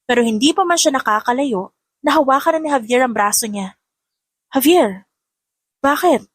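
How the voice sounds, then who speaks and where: High-voiced woman narrating a romance. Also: animated, fairly quick, a bit bright, no echo, clean studio sound. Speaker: audiobook narrator. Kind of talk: scripted romance story narration.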